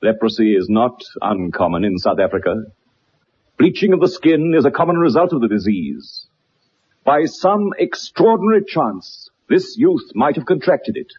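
An actor playing a self-assured, eloquent gentleman detective in a radio drama, with a formal, lecturing manner. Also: medium pitch, big pitch swings, normal speed, slight background noise, lightly acted. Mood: assured, explanatory.

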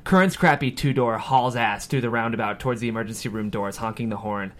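A man's voice: mid-range voice, fairly quick. A man reading scripted screenplay stage directions as the narrator of a table read.

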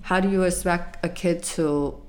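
A young adult woman telling her life story in an interview, soft-spoken and hesitant, with frequent fillers. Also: on the low side, fairly even intonation, measured pace. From United States.

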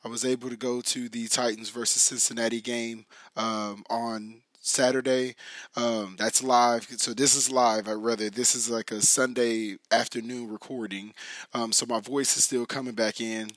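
A man hosting his own podcast in a loose, chatty way with lots of fillers and restarts, his voice hoarse and raspy. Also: mid-range voice, little pitch movement, moderate pace, a bit bright, no echo. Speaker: podcast host. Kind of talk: casual solo podcast talk.

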